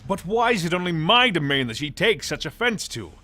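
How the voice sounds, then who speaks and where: A man's voice: fairly high pitch, sing-song, brisk, pushed and bright. A man playing a huffy, defensive, haughty god in a fantasy audio drama. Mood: indignant. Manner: indignant, haughty. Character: huffy, defensive male god of machine-making. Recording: music under the voice.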